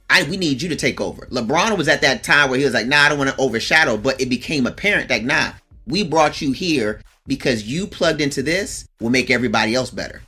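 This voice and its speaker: A man's voice: fairly high pitch, pushed and bright, husky. A man co-hosting a podcast in a casual chat, often acting out what others might say. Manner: acts out quoted lines, interjects agreement. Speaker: podcast host.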